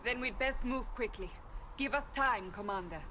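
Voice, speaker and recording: A woman's voice: on the high side, moderate pace, noisy background, natural voice. An actress playing a stern, blunt woman warrior in a fantasy video game, giving clipped commands. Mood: commanding. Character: stern, forceful woman warrior and holy seeker. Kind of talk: dramatic video game acting.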